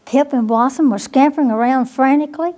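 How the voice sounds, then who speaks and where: A woman's voice: high voice, a little fast, warm tone. A woman reading a bedtime picture book to young children, expressively, with questioning asides to her listeners. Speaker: storytime reader at a library.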